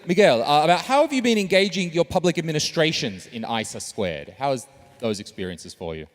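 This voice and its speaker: Lively, joking male conference moderator. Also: on the high side, a little fast. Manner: upbeat, jokey, chatty. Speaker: panel moderator.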